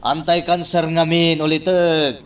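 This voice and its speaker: A man's voice: a little high, little pitch movement, measured pace, lightly acted. A man playing an earnest, deferential plantation worker in an Ilocano radio drama. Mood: earnest, coaxing.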